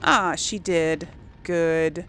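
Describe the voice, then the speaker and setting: A woman's voice: fairly low pitch, steady tone, extremely slow, a bit bright, soft background music. A woman giving casual game commentary as a let's play host, musing aloud to herself.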